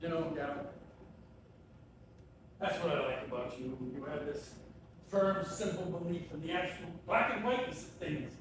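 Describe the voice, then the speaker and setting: High-voiced tormented painter, played by a man, echoey stage. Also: normal speed, long pauses, lightly acted. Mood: guarded, troubled. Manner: dry, cryptic, turning needling and insistent. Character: tormented, evasive painter blocked on an unfinished canvas. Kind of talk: live one-act stage play acting. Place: tavern theater venue. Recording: echoey room from far off, noisy.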